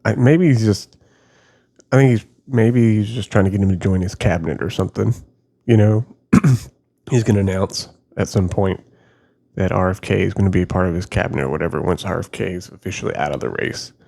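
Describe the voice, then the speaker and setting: A man's voice: deep voice, fairly quick, vocal fry, mellow. A man giving casual, off-the-cuff reaction commentary in a loose, tentative way as a video host.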